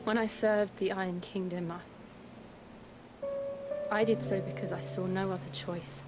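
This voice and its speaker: Woman acting a guarded, haunted young woman, loud music. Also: mid-range voice, steady tone, measured pace, lightly acted. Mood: sorrowful. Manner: soft, hesitant, grave. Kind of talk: dramatic video game acting.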